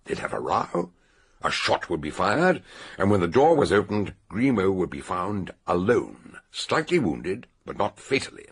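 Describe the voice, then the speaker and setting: An actor playing an eccentric older British detective in a radio drama, theatrically and deliberately laying out a murder solution in a gravelly, husky voice. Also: male voice, sits low, sing-song, heavily acted. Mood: assured, expository.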